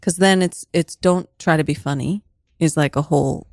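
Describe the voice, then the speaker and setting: A woman's voice: low voice, moderate pace, a little mellow, no room sound, clean studio sound. A woman in casual, reflective conversation as a guest, thoughtful and hesitant, often repeating her phrases. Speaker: guest learning improv.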